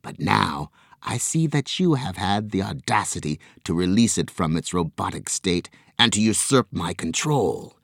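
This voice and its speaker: A man voicing a menacing part-human squid monster that hisses and snarls slowly. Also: deep voice, lively intonation, gravelly, no room sound, studio quality, heavily acted. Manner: slow, hissing, snarling.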